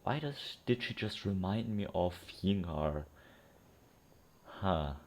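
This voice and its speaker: A woman's voice: a bass voice, animated, slow pace, a little mellow. A woman giving casual, hesitant and amused commentary while playing a game. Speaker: gamer reacting to game.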